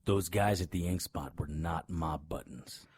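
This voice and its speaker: An actor playing a hot-tempered private detective in a noir radio drama, gruff, sardonic and confrontational. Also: male voice, extremely low pitch, measured pace, darkish tone, no echo, heavily acted.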